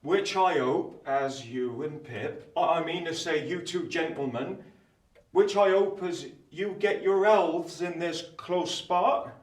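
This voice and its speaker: Fumbling, slow-spoken country blacksmith. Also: male voice, fairly high pitch, distant mic. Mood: flustered politeness. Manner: deferential, fumbling, rustic ungrammatical speech. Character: humble, kindly country blacksmith, awkwardly polite among gentlefolk. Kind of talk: radio play acting. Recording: echoey room, off the mic.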